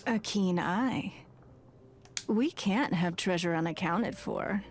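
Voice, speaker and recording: Slow, haughty moneyed woman. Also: lowish voice, soft background music. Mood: self-satisfied. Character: shrewd, poised, imperious game woman of wealth.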